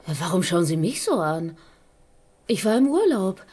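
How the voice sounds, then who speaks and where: An actress playing a defiant female academic under accusation in a radio crime drama, indignant and halting. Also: on the low side, big pitch swings, warm tone, husky, full character voice. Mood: wary, defensive. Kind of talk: German radio drama acting.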